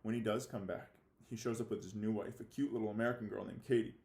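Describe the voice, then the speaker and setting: A man speaking as a fifth-grade teacher giving a read-aloud lesson, halting and theatrically mock-serious in his delivery. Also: on the low side, steady tone, darkish tone. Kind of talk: read-aloud lesson for children, reading a novel with commentary. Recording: echoey room.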